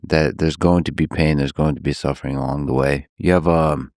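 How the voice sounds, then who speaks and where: A man hosting a long-form interview podcast, asking questions slowly and in a measured way. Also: a bass voice, steady tone, a little mellow, no echo, clean studio sound. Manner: slow, measured, reads a long post aloud. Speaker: podcast host.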